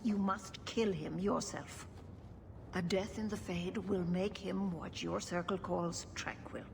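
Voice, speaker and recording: An actress playing a wise, grave elven keeper in a video game, formal and measured. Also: female voice, lowish voice, gravelly. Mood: urgent, stern. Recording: noisy game ambience behind.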